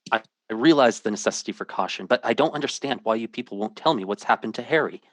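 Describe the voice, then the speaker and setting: A man's voice: mid-range voice, fast, dry recording, studio quality, lightly acted. An actor playing a worried, impatient American man who searches for his missing brother and narrates it in radio drama style, earnest and clipped. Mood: impatient, indignant. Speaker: lead actor and first-person narrator.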